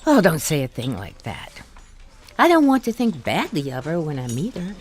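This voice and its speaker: An actress playing a polite, patient middle-aged wife in an audio drama, speaking in a hesitant, confiding and quietly candid way. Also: female voice, low voice, wildly swooping pitch, moderate pace. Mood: gently insistent.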